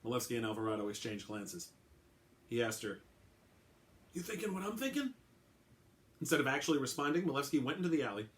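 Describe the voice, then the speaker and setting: A man, an author, reading aloud as a dramatic storyteller. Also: mid-range voice.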